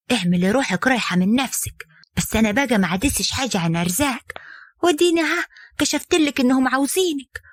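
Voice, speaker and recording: A woman voicing a chatty young sister-in-law who coaxes in a lively way. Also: high voice, no room sound, studio quality.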